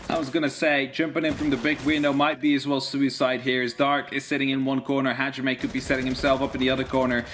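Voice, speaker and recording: A man's voice: mid-range voice, little pitch movement, fast talker, few pauses, fairly bright tone, slight background noise. A man who is a non-native English speaker, giving live esports play-by-play and casual commentary. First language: not English.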